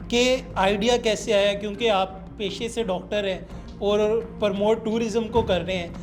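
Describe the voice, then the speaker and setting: A woman's voice: mid-range voice, fairly even intonation, normal speed. Pakistani woman hosting, music behind. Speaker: podcast host. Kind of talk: interview questioning.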